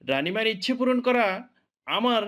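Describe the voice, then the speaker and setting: An eager royal minister from a cartoon, played by a man, high in pitch for a male voice. His manner is formal, obedient and deferential. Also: very animated, moderate pace, a bit bright. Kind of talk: cartoon voice acting.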